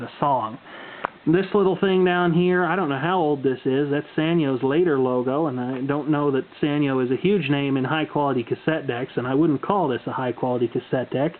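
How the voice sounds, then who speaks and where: A man presenting a casual, unscripted show-and-tell with dry, wry humor and folksy turns of phrase. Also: medium pitch. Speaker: hobbyist video presenter. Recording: muffled phone-like audio with background noise.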